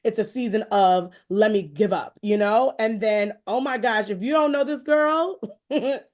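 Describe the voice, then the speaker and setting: Expressive, higher-pitched American woman hosting. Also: a little slow. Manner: effusive, rambling, expressive with quoted asides. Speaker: show host interviewing a guest. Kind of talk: conversational faith-based encouragement talk.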